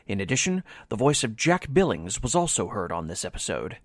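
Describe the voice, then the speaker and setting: Male radio announcer, very animated intonation. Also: fairly low pitch, a little fast, no echo.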